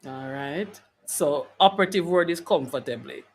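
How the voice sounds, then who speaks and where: A man's voice: fairly high pitch, moderate pace, slightly rough. A man hosting a conversational interview, speaking emphatically and repeating key phrases for weight. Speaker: interview host. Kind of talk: conversational faith-based interview.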